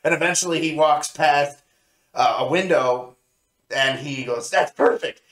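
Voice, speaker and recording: A man's voice: fairly high pitch, a little slow, fairly bright tone. A man chatting casually as co-host of a review show, recounting scenes in an enthusiastic, rambling way. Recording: echoey, roomy sound.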